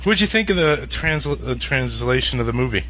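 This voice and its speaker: A man's voice: medium pitch, expressive, moderate pace. Teasing host guy, voice jumps all over, muffled lo-fi with hiss. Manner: teasing, laughs often. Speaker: podcast host. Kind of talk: casual chat. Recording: dull lo-fi call audio with clear hiss.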